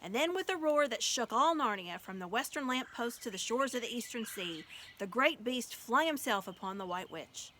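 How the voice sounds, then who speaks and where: A woman's voice: a little high, expressive, normal speed, sharp tone. A woman narrating a children's story expressively as an audiobook reader. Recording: faint background noise.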